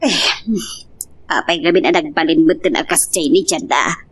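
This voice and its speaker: A woman's voice: deep voice, normal speed, husky, far from the mic. A woman playing an older mother and grandmother in an audio drama, chiding and exasperated as she tries to keep peace. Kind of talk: amateur radio-style drama acting.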